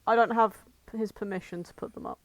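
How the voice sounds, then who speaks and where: A woman's voice: medium pitch, fairly even intonation, brisk, dry recording, muffled recording. A woman co-hosting a casual chat, bantering with playful protest and swearing. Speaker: podcast co-host.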